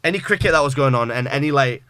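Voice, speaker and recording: Fast-talking man, bright voice. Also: medium pitch, lively intonation, clear, resonant tone. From Pakistan. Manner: rambling, filler-heavy, uses British slang. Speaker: guest wrestler. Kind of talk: casual podcast chat.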